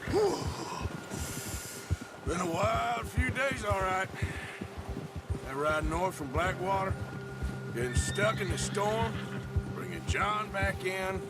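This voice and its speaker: An actor playing a weary, rugged American outlaw cowboy in a western game, with a folksy, dryly good-natured drawl. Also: male voice, high-pitched, expressive, takes it slowly, long pauses. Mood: weary, reflective. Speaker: video game character. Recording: loud music behind the voice. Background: loud music.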